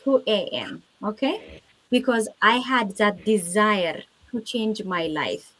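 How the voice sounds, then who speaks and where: A woman from Ethiopia speaks as a guest on a live video call, with a mid-range voice. She tells her story calmly and clearly, repeating key points to drive them home. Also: medium pitch, slow, poor audio. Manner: clear, composed storytelling with repetition for emphasis. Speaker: guest business owner. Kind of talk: live online testimony.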